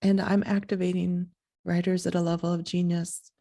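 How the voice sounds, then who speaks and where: Soft sleepy-voiced woman podcaster, gravelly. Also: on the low side, little pitch movement. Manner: slow, halting, breathy and soft-spoken. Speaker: podcast host. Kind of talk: soft reflective monologue.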